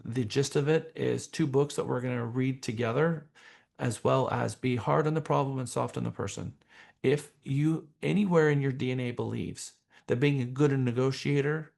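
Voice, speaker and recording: A man teaching a professional class as a trainer, speaking in a deliberate, emphatic way with rhetorical questions. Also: mid-range voice, vocal fry, a little mellow. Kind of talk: motivational training seminar talk.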